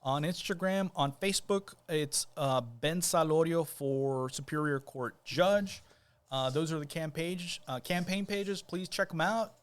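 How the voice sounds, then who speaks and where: A man's voice: a little high, a little slow. A man talking casually as a guest on a podcast, easygoing and rambling, with plenty of fillers and restarts. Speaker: guest, judicial candidate.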